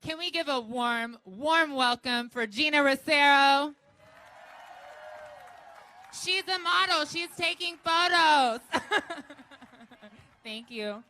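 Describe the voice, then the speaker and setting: A woman's voice: high-pitched, slow pace, pauses often, bright, forceful tone. A woman emceeing a march, thanking groups and introducing the next speaker with upbeat energy. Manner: upbeat, cheering. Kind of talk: event introduction. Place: outdoor rally.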